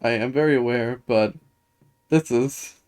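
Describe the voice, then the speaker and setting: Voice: medium pitch, unhurried, a little mellow, clean tone. A streamer giving casual, dry and wry live commentary while playing a game and reading its dialogue aloud. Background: faint game music.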